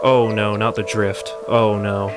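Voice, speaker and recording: A man's voice: fairly low pitch, level tone, a little slow, loud music behind the voice. A man giving casual, running commentary on a game as he plays.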